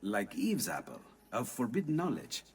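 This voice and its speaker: An actor playing a fascinated, learned scholar in a video game cutscene. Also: male voice, mid-range voice, wildly swooping pitch, moderate pace, darkish tone, husky, exaggerated voice. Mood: awed, curious.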